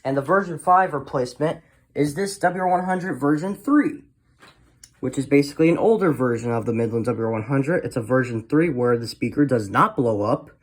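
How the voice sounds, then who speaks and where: A man's voice: mid-range voice, animated, moderate pace, a little hiss or hum. Man, mid-range voice, casual show-and-tell, rambling hobbyist presenter, sentences trailing off. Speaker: hobbyist collector presenting a video.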